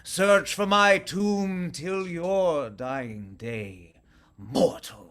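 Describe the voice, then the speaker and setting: An imperious, centuries-old vampire count acted by a man in old-time radio drama style. The delivery is very slow and threatening, with a grandiose, theatrical manner. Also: high voice, fairly bright tone, full character voice. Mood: menacing, defiant.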